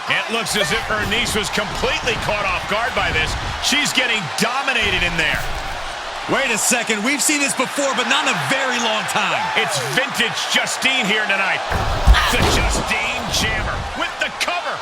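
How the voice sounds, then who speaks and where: Casting: excited game wrestling commentator, very high male register, dramatic and emphatic, loud music underneath. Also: normal speed. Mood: excited, astonished. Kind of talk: live sports commentary.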